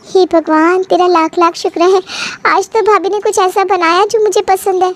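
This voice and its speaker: A fussy young sister-in-law in a cartoon family drama played by a woman. Her voice is extremely high and girlish. She whines and complains with big dramatic flair. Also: fairly even intonation, moderate pace. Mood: eager. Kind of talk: animated family story dialogue.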